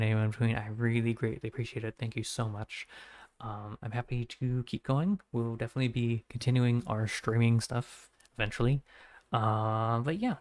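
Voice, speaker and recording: A man streaming games from home who talks in a flat, rambling way and often corrects himself. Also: mid-range voice, dry recording. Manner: monotone, rambling, self-correcting. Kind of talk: casual stream sign-off chat.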